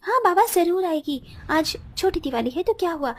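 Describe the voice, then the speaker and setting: Woman playing a high-pitched, spiteful young sister-in-law. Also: lively intonation, normal speed. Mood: scheming. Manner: scornful, bossy, snappish. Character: spiteful, scheming young sisters-in-law, haughty college girls. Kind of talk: Hindi cartoon story dialogue.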